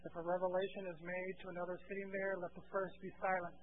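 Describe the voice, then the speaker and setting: A man's voice: high-pitched, monotone, fast. A man preaching an expository sermon to a congregation in an emphatic, instructive manner, posing rhetorical questions and repeating key phrases. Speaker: preacher teaching a church congregation. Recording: muffled lo-fi, clear hum and noise.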